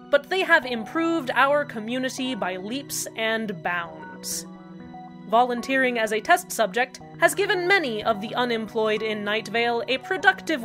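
A woman plays an earnest male radio host from a strange small town, closing his show with sincere thanks tinged with irony. His voice sits a little high for a man. He speaks in a steady broadcast cadence. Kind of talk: fan audio drama acting.

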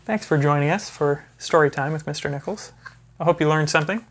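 Man, thirties, gentle parent talk, picture-book reading, chatty asides, indoor phone-camera recording. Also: fairly high pitch, a little fast, a little hiss or hum. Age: 30s. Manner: gentle parent talking to a baby. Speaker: physics teacher. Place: small carpeted or wood-floored room at home, indoors. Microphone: phone camera a few feet away.

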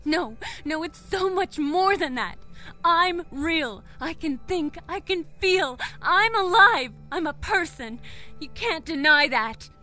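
Woman playing a distraught, high-voiced captive. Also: animated, pushed and bright, faint music behind, full character voice. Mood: impassioned, desperate. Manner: halting, pleading, emotional. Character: distraught, defiant captive woman, desperate to live. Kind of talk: audio drama acting.

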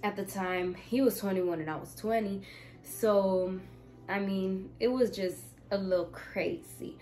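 A woman in her twenties from Miami, telling a casual storytime from her bedroom, animated, swinging from loud exclaiming to hushed asides. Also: medium pitch, measured pace, soft background music. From Miami, United States. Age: 20s. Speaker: vlogger. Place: bedroom, indoors. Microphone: camera in front, seated.

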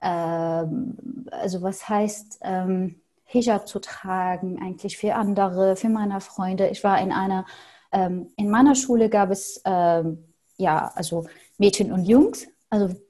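Syrian woman, mellow and hesitant. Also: mid-range voice, fairly even intonation, slow pace. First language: Arabic. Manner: thoughtful, hesitant with frequent fillers. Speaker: podcast guest. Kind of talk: casual interview answers. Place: small home room with paintings on wall.

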